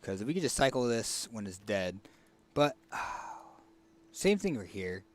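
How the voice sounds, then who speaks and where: A man with a raspy, hoarse voice casually commenting on a card game as he picks cards, thinking aloud with fillers and restarts. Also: lowish voice, very animated, takes it slowly, slight background noise. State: hoarse, tickly throat. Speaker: streamer.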